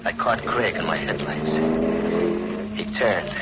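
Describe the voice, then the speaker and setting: A man's voice: low-pitched, animated, a little slow. An actor playing a bitter, betrayed man turned vengeful killer in a sardonic, hard-boiled 1940s radio crime drama. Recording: dull, noisy old radio recording.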